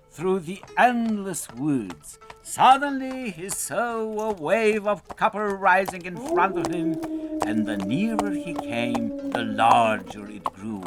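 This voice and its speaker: Male narrator for children's fairy tales. Higher register, lively intonation, deliberate dramatic delivery over music. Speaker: storyteller, narrator.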